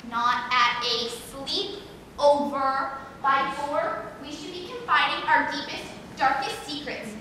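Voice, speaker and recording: A woman's voice: high voice, slow, sharp tone, full character voice. A woman playing a bossy, melodramatic teenage girl in an amateur stage play, with a theatrical, insistent delivery. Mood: reproachful, dramatic. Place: stage performance in a large hall. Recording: echoey hall, distant mic, faint noise.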